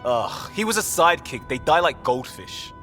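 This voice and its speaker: A man's voice: a little high, big pitch swings, moderate pace, sharp tone. A man playing an easygoing, joking young spaceship crewmate in casual, wry video game dialogue. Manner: wry, informal. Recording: reverberant, faint music underneath.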